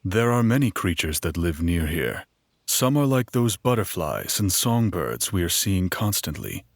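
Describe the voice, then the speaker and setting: A man playing a knowledgeable, wary minstrel guide in a fantasy audio drama, measured and explanatory. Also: low-pitched, very animated, no echo, studio quality, full character voice. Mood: informative.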